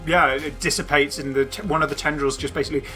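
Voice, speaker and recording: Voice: medium pitch, fairly even intonation, fast talker, bright, forceful tone, poor audio. A game master narrating a tabletop game with dramatic, descriptive scene-setting over music. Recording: music behind the voice.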